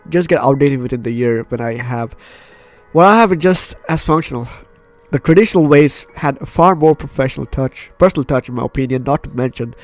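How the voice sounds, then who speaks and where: Informal man reading game story over music. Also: mid-range voice. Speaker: gaming video host. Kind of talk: visual novel let's play, reading narration aloud with asides.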